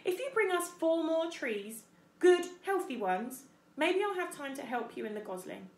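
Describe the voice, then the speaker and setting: A woman voicing a stubborn, gruff adult male beaver in a children's storybook, blustering and grudging. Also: sits high, big pitch swings, normal speed, reverberant, voice pushed up. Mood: grudging, businesslike.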